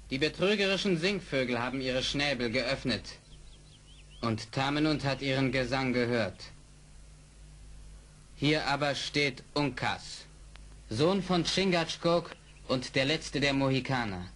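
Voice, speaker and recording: Bright, forceful proud young warrior. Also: male voice, mid-range voice, slow, pauses often. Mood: proud, defiant. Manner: declamatory, ceremonial. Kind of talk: 1970s German radio play acting. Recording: noisy old radio play recording.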